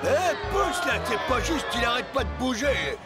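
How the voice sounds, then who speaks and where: High male voice, grumbling fairground challenger, loud, taunting, cartoon boxer, noisy crowd. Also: big pitch swings, moderate pace, over loud music. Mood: irritated bluster. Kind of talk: animated cartoon voice acting.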